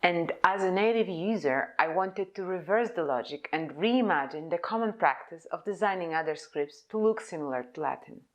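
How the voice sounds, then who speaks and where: Low-voiced young woman. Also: lively intonation, moderate pace, hardly any pauses, dull audio. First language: Polish. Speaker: conference speaker, type designer. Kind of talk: prepared conference presentation.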